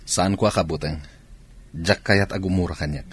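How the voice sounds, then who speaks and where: A man's voice: deep voice, steady tone, moderate pace, background noise, close to an everyday voice. A man with an Ilocano accent playing a married army commander in a radio drama. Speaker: soldier husband and father.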